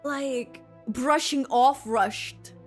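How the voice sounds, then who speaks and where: A woman game streamer giving excitable, halting reaction commentary as she plays. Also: sits high, music in the background. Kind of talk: live gameplay reaction commentary.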